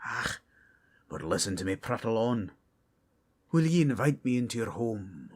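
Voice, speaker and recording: A man voicing a dignified male dwarf high priest in a fan audio drama, speaking in formal, old-fashioned phrasing. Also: very animated, unhurried. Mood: stately.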